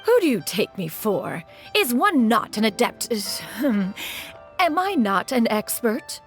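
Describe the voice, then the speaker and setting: A woman's voice: a little high, sing-song, takes it slowly, faint music behind, exaggerated voice. A woman voicing an elegant immortal adept who speaks formally in an old-fashioned way and calls herself "one", in a game cutscene. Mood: assured. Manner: formal, archaic speech, refers to herself as one. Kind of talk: video game voice acting.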